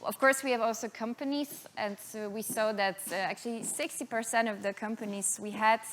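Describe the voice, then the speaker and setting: Polish-born founder, 30s, female; hesitant investor-pitch delivery, lots of "uh". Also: fairly even intonation, fairly quick, fairly bright tone, a little hiss or hum. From Poland. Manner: hesitant, many uh and um fillers.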